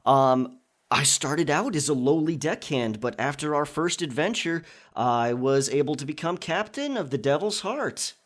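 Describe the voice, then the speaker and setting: A man's voice: on the high side, animated, a little slow. A man hosting a casual question and answer session for his listeners in a conversational, chatty manner. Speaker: audio roleplay creator and channel host.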